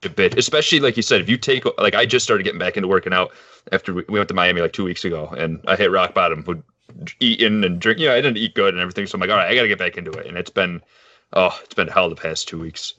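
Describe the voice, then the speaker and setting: Casual male talker, bright and forceful, slightly low voice, slangy storytelling, lo-fi audio. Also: rapid-fire, no room sound. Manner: slangy, animated storytelling with lots of "dude" and "man". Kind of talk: casual banter.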